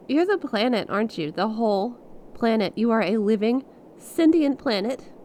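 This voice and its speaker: Woman acting a slow-paced, earnest stranded star pilot. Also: on the high side, a little hiss or hum. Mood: amazed, delighted. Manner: expressive, halting, conversational, with small laughs and breathy exclamations. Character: stranded young female star scout pilot, awestruck and earnest. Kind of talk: ASMR audio roleplay, monologue to a listener.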